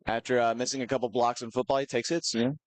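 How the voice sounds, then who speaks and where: A man gives easygoing commentary on a school basketball game. He rambles and pauses on fillers. His voice sits mid-pitch and sounds dry and close. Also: no echo. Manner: relaxed, rambling, hesitant fillers. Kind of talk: casual sports commentary.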